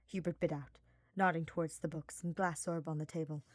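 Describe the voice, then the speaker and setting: Low-pitched woman narrating a story. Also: steady tone, brisk, no echo, clean studio sound. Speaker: audiobook-style fan fiction narrator.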